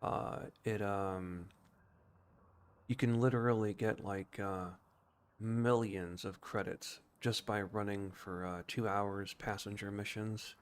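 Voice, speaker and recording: A man with a fairly low voice talks through a video game as a streamer would. His delivery is casual and explanatory, as he thinks aloud in an informal way. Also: fairly even intonation, slow pace, clear, resonant tone. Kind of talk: casual gameplay commentary and explanation.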